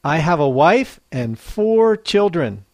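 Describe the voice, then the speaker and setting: A teacher hosting a guessing quiz for learners, speaking very slowly and spacing every word. Each clue is read twice with a lively, rising and falling pitch. The sound is dry and close, with no room around it. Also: dry recording. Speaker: English teacher. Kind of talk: slow quiz dictation for learners.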